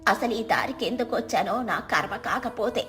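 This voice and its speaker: A woman's voice: fairly high pitch, animated, moderate pace, a bit bright, soft background music. A woman voicing a timid, worried old village woman who sells vegetables and complains fretfully.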